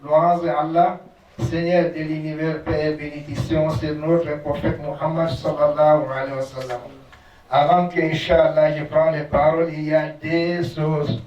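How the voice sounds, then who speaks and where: A man's voice: on the high side, flat delivery, darkish tone. Preacher man, distant and echoey. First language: Arabic. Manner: recites Arabic fast, then explains slowly in halting French. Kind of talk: sermon. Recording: roomy, distant, dull sound.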